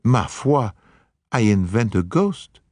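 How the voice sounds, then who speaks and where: A man's voice: on the low side, lively intonation, slow pace, a little mellow, no room sound, voice pushed up. A man doing an impudent French maid. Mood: coy.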